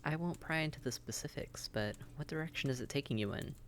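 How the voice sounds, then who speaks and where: A man's voice: on the high side, fast, a bit bright, close to an everyday voice. A man playing a friendly, worldly vampire innkeeper who chats and gives reassuring advice straight to the listener in a roleplay audio drama. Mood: friendly, teasing. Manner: chatty, reassuring, advising.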